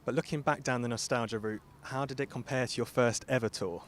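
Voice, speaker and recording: A man's voice: mid-range voice, steady tone, fairly quick, slight background noise. A man interviewing celebrities for radio in an upbeat, conversational style.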